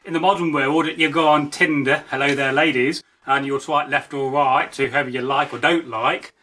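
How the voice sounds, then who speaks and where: A man's voice: medium pitch, steady tone, normal speed, sharp tone. A man co-hosting in a casual, chatty, rambling way, recorded some distance from the microphone. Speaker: channel co-host. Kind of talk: casual chat.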